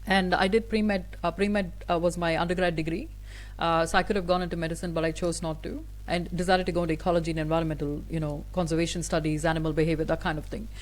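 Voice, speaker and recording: A woman's voice: on the low side, flat delivery, fast talker. A woman whose first language is Tamil, a guest speaker answering an interview at length in an earnest, thoughtful way, with frequent fillers and restarts.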